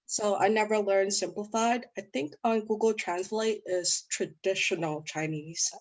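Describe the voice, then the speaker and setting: A woman from the audience explains her question calmly over a video call, pausing on a word now and then. Her voice is at a middle pitch. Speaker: audience member asking a question.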